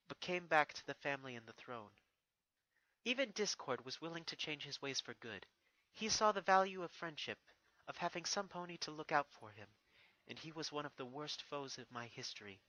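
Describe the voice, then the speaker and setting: A man's voice: fairly high pitch, expressive, moderate pace, bright, forceful tone, pitched above the performer's own voice, rough recording. A man voicing a regal, stern female pony princess who speaks in formal, solemn speeches with a wounded resolve. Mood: firm, reproachful.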